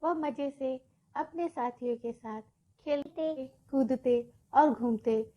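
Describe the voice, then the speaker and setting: High-voiced, very slow woman storyteller. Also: warm tone. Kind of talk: narrated children's moral story.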